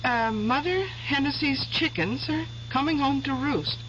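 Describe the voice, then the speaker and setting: Nosy young wife, bright chatty voice, hissy old radio sound. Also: female voice, high voice, measured pace. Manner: eager, chatty, inquisitive. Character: curious young pregnant wife. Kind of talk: 1970s radio drama acting. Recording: muffled old radio recording with hiss.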